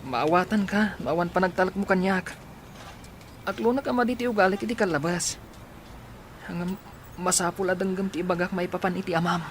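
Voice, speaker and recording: Man voicing secretive young man, high voice, urgent, faint ambience. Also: fairly even intonation, a little fast, pauses often, slight background noise, close to an everyday voice.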